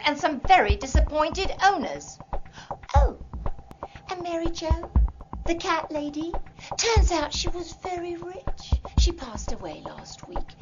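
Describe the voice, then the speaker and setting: An actress playing a stern, sardonic female head of an animal hospital in a video game, with theatrical, drawn-out emphasis. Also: high-pitched, noisy background. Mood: bitterly sarcastic. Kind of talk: scripted video game cutscene acting. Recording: dull lo-fi game audio, loud music.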